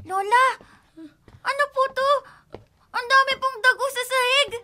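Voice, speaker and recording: A woman's voice: very high voice, unhurried, background noise. A woman voicing a small, polite grandson in a radio drama, with a childlike way of talking. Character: small obedient boy grandson.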